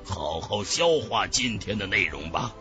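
A man voicing a calm, authoritative old martial master in a measured, unhurried way. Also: on the low side, soft background music, pitched below the performer's own voice, full character voice. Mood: calm instructing.

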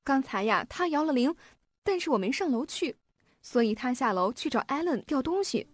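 A woman's voice: high voice, big pitch swings, normal speed. An actress playing a cheerful, naive young daughter in a radio drama, chatting innocently as she tells her story. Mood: chatty, excited.

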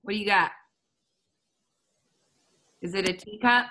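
A woman's voice: mid-range voice, unhurried, sharp tone. A woman leading a children's lesson on a video call, chatty and encouraging. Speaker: children's program leader. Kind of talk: casual hosting of a kids' video call. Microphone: laptop on a video call.

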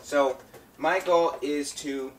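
A man's voice: fairly high pitch, fairly even intonation, slow pace, slight background noise. A man giving a casual hands-on tutorial as host, addressing viewers in an informal, folksy way. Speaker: tutorial host and talk box musician. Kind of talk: casual instructional demonstration.

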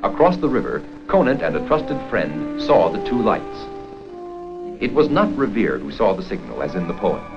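A man reading scripted documentary narration for an educational history film in a formal, measured storytelling manner. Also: fairly low pitch, big pitch swings, creaky. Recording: dull 16mm film soundtrack with music.

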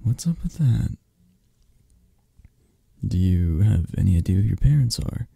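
A man playing a possessive, flirtatious, intimidating mafia boss in an ASMR roleplay, husky and murmuring, with drawn-out teasing pauses. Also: on the low side, sing-song, warm tone, no room sound, studio quality, exaggerated voice. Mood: cool, appraising. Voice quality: husky, gravelly, at times breathy.

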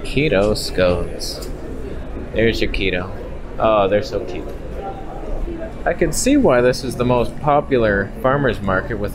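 A man in his thirties giving casual, joking walk-along vlog commentary into a handheld camera. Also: on the low side. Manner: wry, joking asides. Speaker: vlogger. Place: on the move through a market and outdoors. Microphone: handheld camera at arm's length. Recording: noisy handheld camera with ambient noise.

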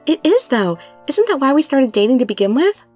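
A woman acts out a feisty young heroine of a romance tale. Her voice rises high and swings animatedly, emphatic and indignant, with hurt under the exasperation. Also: sing-song, brisk, warm tone, soft background music. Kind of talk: dramatic romance story reading.

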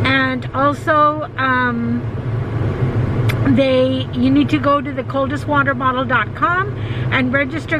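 A middle-aged woman, chatting casually as a delivery driver vlogging from inside a car. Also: high-pitched. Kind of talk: casual vlog chat. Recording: car cabin with clear background noise.

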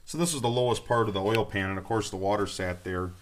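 Man, low pitch, plain-spoken, folksy, informal explainer, hands-on project update, handheld camera mic, indoor workshop. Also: fast, a little hiss or hum. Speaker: amateur tractor rebuilder presenting a project update.

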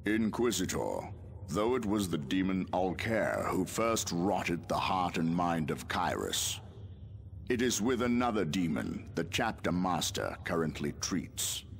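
An actor playing a grave, commanding space marine captain delivering a dramatic video game monologue in a deep, gravelly voice. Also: male voice, very animated, measured pace, a little hiss or hum, full character voice.

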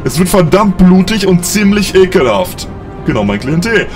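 A man plays a ruthless, commanding military officer in a sci-fi audio drama. His voice is high for a man and he talks bluntly, cursing as he goes. Music plays clearly behind him. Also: big pitch swings, normal speed. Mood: grim, commanding. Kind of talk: science fiction audio drama acting.

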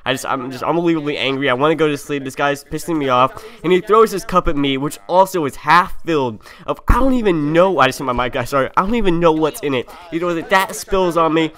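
A man's voice: a little high, quick pace, hardly any pauses, fairly bright tone. Young man telling a story casually. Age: young adult. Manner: informal, slangy, acts out dialogue. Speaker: streamer telling a story over gameplay. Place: indoor room at a gaming setup.

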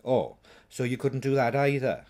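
A man's voice: mid-range voice, lively intonation, moderate pace, darkish tone, voice pushed up, lightly acted. A man voicing a practical, teasing witch woman in a storybook, with a blunt, wry, no-nonsense manner. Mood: dismissive. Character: brisk, practical, teasing witch woman, sharp-tongued friend.

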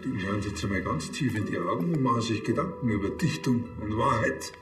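A man's voice: on the low side, animated, brisk, roomy sound, natural voice. Bavarian TV cop, played by a man, colloquial and needling, faint music behind him. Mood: insistent. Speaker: actor in a TV police series.

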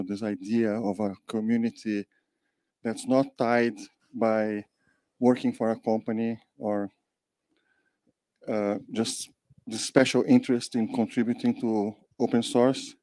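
An older man, a panel guest, giving a thoughtful, measured account of his history into a handheld mic in a meeting room. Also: medium pitch, dark tone, no room sound. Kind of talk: panel conversation.

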